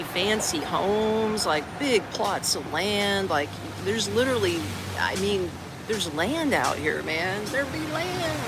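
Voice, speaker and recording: An expat from Orlando, Florida chatting casually on a live stream from an outdoor garden café, rambling with lots of "like" and "man". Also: mid-range voice. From Orlando, Florida, United States. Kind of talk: casual livestream chat. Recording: outdoor stream with traffic noise. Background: outdoor ambience with traffic.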